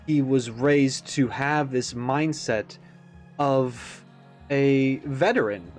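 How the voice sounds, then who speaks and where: A man's voice: medium pitch, darkish tone. A man giving casual, reflective reaction commentary, rambling as he thinks aloud with self-aware asides. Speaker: reaction video creator. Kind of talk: casual, reflective commentary on a game character video.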